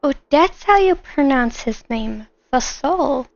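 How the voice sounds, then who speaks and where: A woman giving casual, rambling live commentary while streaming a game, in an animated manner. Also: high-pitched, takes it slowly, vocal fry, no echo, muffled recording. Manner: animated, rambling, acts out imagined lines. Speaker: streamer, gamer.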